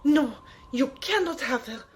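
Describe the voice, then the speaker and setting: A woman playing a stern, defiant heroine in an audio drama, with formal, deliberate phrasing. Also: high-pitched, animated, heavily acted. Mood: defiant anger. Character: defiant, stern heroine facing old enemies. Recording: clear background noise.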